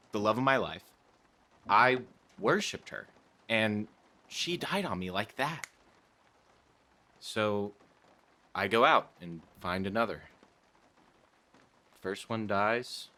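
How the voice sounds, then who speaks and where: A man's voice: on the low side, bright, forceful tone, exaggerated voice. An actor playing a despondent, brooding 1930s policeman in a radio play, gloomy and self-pitying. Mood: bitter, despairing.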